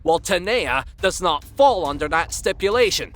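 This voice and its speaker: A man's voice: sits high, expressive, moderate pace, a bit bright, faint music behind. A man voicing a bold, protective young male pony in an audio drama, firm and defiant. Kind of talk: fan audio drama acting.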